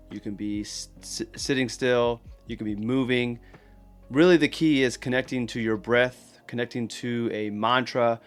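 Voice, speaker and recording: A man with a mid-pitched voice leads a guided meditation. He gives gentle instructions in plain, encouraging words and leaves unhurried pauses between phrases. Soft guitar music plays faintly behind him.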